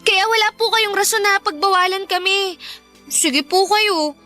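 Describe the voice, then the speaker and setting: A woman's voice: very high voice, a little slow, sharp tone, faint music behind, heavily acted. A woman playing a stubborn, defiant Filipino teenage daughter in a radio drama. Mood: defiant, pleading. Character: stubborn, defiant teenage daughter, later grieving young wife.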